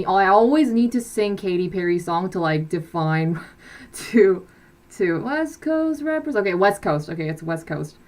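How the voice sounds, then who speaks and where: Low-voiced young woman chatting casually. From South Korea. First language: Korean. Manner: rambling, many restarts and fillers. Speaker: livestream host. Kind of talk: casual live-stream chat. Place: bedroom with blackout curtain.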